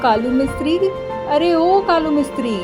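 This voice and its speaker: A small mother bird from an animated fable, acted by a woman, caring, plaintive and earnest. The voice is high and emphatic, over clear background music. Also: normal speed. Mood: pleading. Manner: pleading, emphatic.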